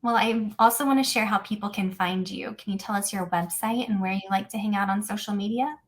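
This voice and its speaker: A woman hosts a book podcast, her voice at an ordinary middle pitch. She reads her prepared questions briskly and plainly. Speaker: podcast host. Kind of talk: scripted interview questions.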